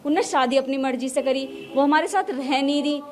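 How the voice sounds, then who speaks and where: A woman's voice: sits high, normal speed, slight background noise. A middle-aged woman, answering interview questions in an emphatic, emotional way and repeating herself. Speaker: mother being interviewed.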